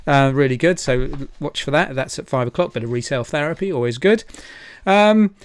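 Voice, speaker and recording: A man's voice: on the high side, lively intonation, normal speed, clean tone, no room sound. A man hosting an informal livestream, chatty and hesitant as he rambles through announcements. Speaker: event host and presenter.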